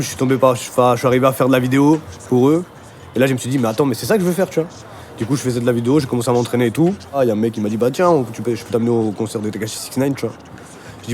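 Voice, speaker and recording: A man's voice: mid-range voice, a little mellow, a little hiss or hum. A man from Argentina, telling his story in a casual, slangy interview style. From Argentina, moved to France at thirteen. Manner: slangy, informal, punctuated with conversational tags. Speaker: interviewee, music video director.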